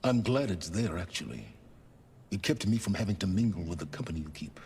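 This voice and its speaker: An actor playing an aloof, coldly intellectual schemer in a video game, measured and condescending. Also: male voice, low-pitched, animated, darkish tone, slightly rough, heavily acted. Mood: dry, impatient. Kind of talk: video game cutscene acting.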